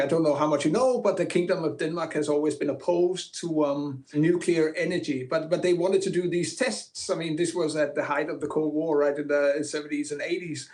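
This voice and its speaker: A man from Greenland, a filmmaker and film teacher, answering interview questions in a hesitant way, with many fillers and restarts. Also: fairly high pitch.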